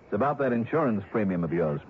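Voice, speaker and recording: An actor playing a tough, skeptical insurance investigator with a clipped, sardonic delivery in 1960s radio detective style. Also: male voice, on the low side, sing-song, fast, slight background noise. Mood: sardonic, pressing. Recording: muffled narrow-band vintage radio recording.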